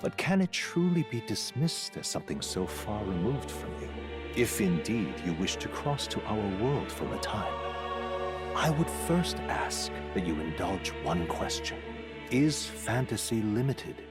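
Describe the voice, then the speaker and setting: An enigmatic guide in a fantasy game. He speaks eloquently and thoughtfully in a low voice with plenty of melodic movement, over loud music. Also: sing-song. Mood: musing. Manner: measured, eloquent, philosophical. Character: enigmatic, courteous, thoughtful male guide to another world. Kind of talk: fantasy video game narration.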